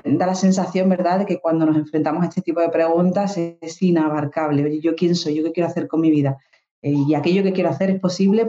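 A woman in her thirties with an Andalusian accent, speaking in a warm, relaxed tone. She talks reflectively as a guest, checking in with little tag questions. The sound is muffled and roomy, like a remote call with echo. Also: deep voice. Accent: Andalusian Spanish. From Spain. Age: 30s. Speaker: guest psychologist and coach. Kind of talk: casual interview talk.

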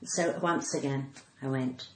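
A woman's voice: very deep voice, steady tone. A woman with a posh English RP accent telling anecdotes theatrically in a casual interview, like a practised comedian. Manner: theatrical, uses dramatic pauses and acted dialogue. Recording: echoey room with faint background noise.

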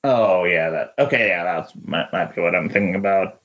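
A man with a fairly low voice talks informally and tentatively, feeling his way through each point with frequent verbal hedging. It sounds like a relaxed fan discussion, captured on slightly dull, lo-fi audio. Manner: halting, tentative, peppers speech with "like". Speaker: podcast co-host discussing comics. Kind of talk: casual comics chat. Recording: dull lo-fi voice recording.

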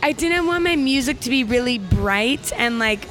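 A young woman with a gravelly speaking voice, chatting upbeat as a guest singer in an interview, full of likes and ums. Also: fairly high pitch, animated, normal speed, music in the background. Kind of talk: casual interview chat.

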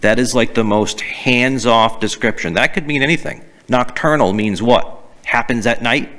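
A young man with a light Boston accent banters crudely with his co-host. He keeps things animated and laughs easily, in an ordinary middle-pitched voice. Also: moderate pace. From New England, outside Boston. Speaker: podcast co-host. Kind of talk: casual banter.

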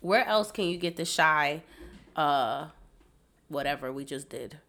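A woman's voice: sits low, expressive, a little slow, fairly bright tone, slight background noise. A woman hosting a podcast in casual chat, a bit congested, rambling and giggly with lots of fillers. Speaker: podcast host.

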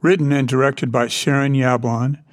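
A man's voice: medium pitch, steady tone, normal speed. Guy reading out podcast credits, regular mid-range voice, scripted. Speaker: credits announcer. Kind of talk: scripted announcement.

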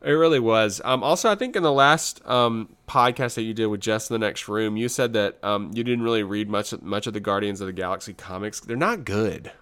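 A man's voice: lowish voice, brisk, few pauses, a bit bright, clear, resonant tone. Laid-back, blunt man chatting casually. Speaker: podcast co-host. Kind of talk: casual chat reviewing shows and films.

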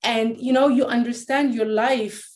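Woman, late thirties, from iran, halting, restarts often, candid personal story. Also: a little high, fairly even intonation, darkish tone. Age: late 30s. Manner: halting, with many restarts and fillers. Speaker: guest, life coach.

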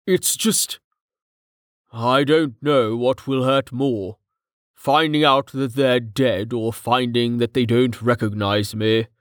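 A man's voice: mid-range voice, fairly even intonation, takes it slowly, no room sound, clean studio sound, close to an everyday voice. A man voicing a wary, troubled family man in a fantasy audiobook, speaking in a hesitant, brooding manner. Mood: anxious, sorrowful.

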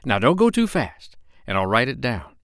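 A man voicing a mild, upright and curious gentleman doctor, earnest and polite, in a children's storybook. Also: medium pitch, sing-song, moderate pace, smooth, no echo, studio quality, pitched above the performer's own voice. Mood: excited, curious.

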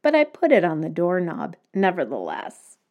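A woman's voice: lowish voice, wildly swooping pitch, normal speed, highs cut off. A woman hosting her own podcast, explaining things step by step in a casual solo talk. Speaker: podcast host. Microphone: home microphone.

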